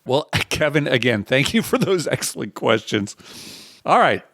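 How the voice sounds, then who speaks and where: Man hosting a podcast, very animated pitch. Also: moderate pace, gravelly, dry recording, clean studio sound. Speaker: podcast host. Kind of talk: casual conversational podcast talk.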